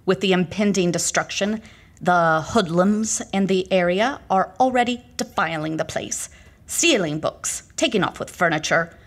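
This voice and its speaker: A woman's voice: on the low side, a little slow. An actress playing a stern small-town librarian in an audio horror drama, devoted to her library and reproachful.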